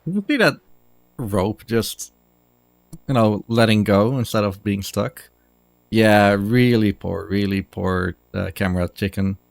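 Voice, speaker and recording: A man's voice: lowish voice, slow, vocal fry, dry recording. A man giving casual live speedrun commentary, explaining things in a hesitant, dryly humorous way.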